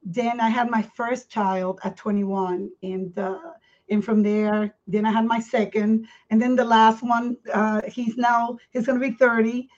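A woman, her voice set a little high. She talks candidly as a podcast guest, circling back and restarting her phrases. The sound is muffled and dull. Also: fairly even intonation, darkish tone. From Puerto Rico. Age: 50s. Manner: candid, earnest, speaks in halting restarts. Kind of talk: personal life story in a podcast interview.

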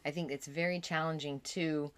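Low-voiced chatty woman, dry sound. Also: steady tone, moderate pace, a bit bright, clean tone, no room sound. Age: middle-aged mother. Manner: agreeable, chatty, affirming. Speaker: podcast co-host. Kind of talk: casual podcast chat.

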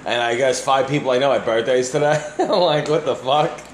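A man's voice: mid-range voice, fairly quick. Profane ranting man in echoey room. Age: 30s. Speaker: podcast co-host. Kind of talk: casual chat. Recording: echoey room, faint background noise.

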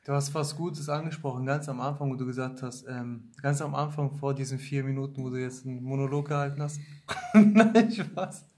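A man's voice: mid-range voice, normal speed, noisy background. A man hosting a casual conversation who speaks hesitantly, with many fillers. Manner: hesitant, lots of ähm fillers, affirming.

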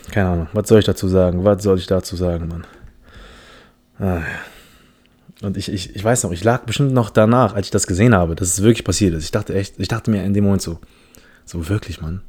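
A man's voice: sits low, fairly quick, pauses often, a little mellow, slightly rough. A man from the Philippines hosting a casual solo podcast, telling a story in a rambling, self-mocking way with many restarts. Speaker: podcast host.

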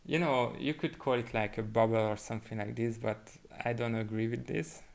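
A man from Hungary with a Hungarian accent, chatting reflectively as a podcast guest and thinking aloud in a halting way. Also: medium pitch, fairly even intonation, creaky. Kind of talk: casual reflective chat.

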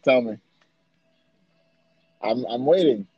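A man's voice: mid-range voice, expressive, slow, vocal fry, husky, no room sound, highs cut off. A man chatting casually with a friend as podcast co-host, joking, boastful and swearing freely. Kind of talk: casual chat between friends. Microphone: remote call mic.

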